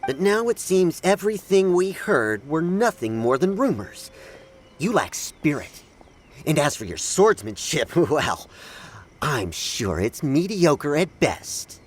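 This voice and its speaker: An actor playing a proud, scornful samurai who speaks in a blunt, formal, haughty way in a video game. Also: male voice, on the high side, big pitch swings, slow pace, a little hiss or hum.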